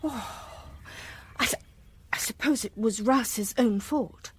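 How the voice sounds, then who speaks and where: An actress playing an observant, self-deprecating woman who narrates a radio drama in a reflective, expressive way. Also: fairly high pitch, slow, husky, exaggerated voice. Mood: shocked. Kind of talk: first-person radio drama narration and dialogue.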